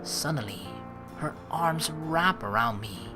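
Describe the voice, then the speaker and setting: A woman with a low, lively voice reads a visual novel aloud as a let's play host. Her pace is unhurried and her pitch moves a lot, with music behind her. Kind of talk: expressive read-aloud narration of a visual novel. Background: game music.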